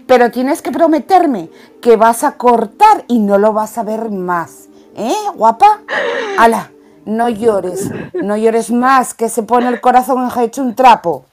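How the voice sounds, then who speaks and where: A woman's voice: a little high, lively intonation, slow pace, a little mellow, faint music behind. A woman playing a bossy, protective stepmother in a radio soap opera. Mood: consoling, firm. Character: bossy, protective Spanish stepmother guarding family honour.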